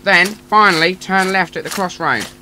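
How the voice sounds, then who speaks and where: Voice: mid-range voice, normal speed, sharp tone, soft background music, low-quality recording. An actor playing a chatty, submissive underling duck guard in a radio drama.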